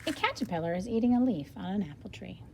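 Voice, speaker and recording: A woman's voice: mid-range voice, lively intonation, fast, gravelly, background noise. A woman reading a children's nonfiction book aloud like a teacher, explaining as she goes for young learners. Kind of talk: read-aloud of nonfiction picture book with brief explanatory asides.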